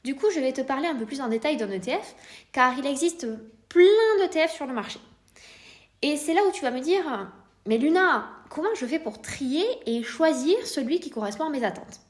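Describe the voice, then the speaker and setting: A woman's voice: high-pitched, animated, moderate pace. A woman with a French accent hosting a podcast, explaining in a scripted, didactic way while addressing the listener informally with rhetorical questions. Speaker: podcast host, private investor.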